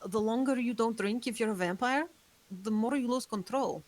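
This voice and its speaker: A woman's voice: fairly high pitch, moderate pace, natural voice. A woman reading the part of an ageless female vampire, guarded and remorseful, in a table read. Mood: somber.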